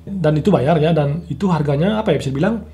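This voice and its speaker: Young guy chatting fast to his viewers, dark mellow tone, lots of ums. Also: on the high side. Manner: rambling, many fillers and "teman" tags. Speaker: programming channel host. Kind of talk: casual talk to camera.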